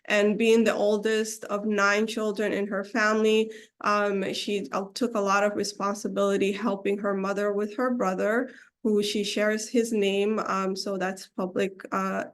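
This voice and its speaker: A woman's voice: mid-range voice, level tone, measured pace. Hesitant woman with a cold. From Seattle, United States. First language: Somali. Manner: hesitant, many ums, restarts mid-phrase. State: getting over a cold. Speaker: community presenter. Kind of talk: online webinar presentation. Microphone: video call.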